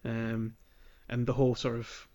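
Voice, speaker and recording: A man's voice: mid-range voice, monotone, a little slow, dark tone. A man co-hosting a podcast in informal, sweary, casual conversation. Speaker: podcast co-host. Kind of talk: casual chat.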